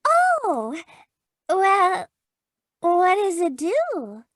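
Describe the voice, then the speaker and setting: Sekretaris perempuan muda bernada sangat tinggi, lugu. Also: female voice, very high-pitched, huge pitch swings, extremely slow, a bit bright, no room sound, studio quality, heavily acted. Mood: delighted, puzzled. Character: devoted, gushing, naive young secretary of a detective. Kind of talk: 1940s-50s radio comedy-mystery acting.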